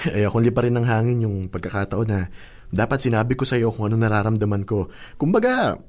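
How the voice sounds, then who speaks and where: A man's voice: fairly low pitch, darkish tone, close to an everyday voice. A man playing a shy, proud young boyfriend in a radio drama, speaking earnestly and hesitantly. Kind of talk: Filipino radio drama acting.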